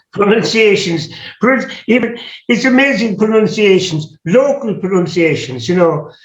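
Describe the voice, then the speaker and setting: High-voiced Irish man in an echoey room. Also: lively intonation, measured pace. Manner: rambling, repeats and restarts words, musing aloud. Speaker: host. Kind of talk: casual interview chat. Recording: echoey room, dull lo-fi sound.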